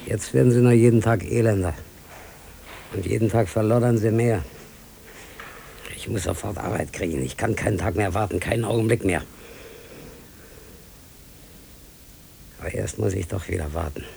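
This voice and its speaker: An actor playing a desperate, weary older unemployed man in a radio play, brooding in halting, repetitive phrases. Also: lowish voice, creaky, mellow. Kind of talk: German radio play acting. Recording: noisy old radio play recording.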